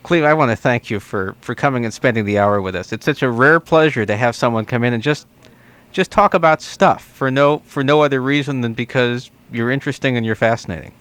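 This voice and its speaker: A man's voice: medium pitch, brisk, fairly bright tone, no room sound. A man hosting a conversational call-in interview show on the radio. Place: radio studio.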